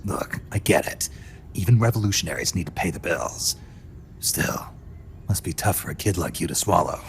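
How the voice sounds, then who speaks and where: An actor playing a gruff, stoic middle-aged soldier in a video game cutscene, terse and fatherly, with a husky growl. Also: a little hiss or hum. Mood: gentle, firm. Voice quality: deep, gravelly, husky growl. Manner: terse, measured, low-key delivery. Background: music.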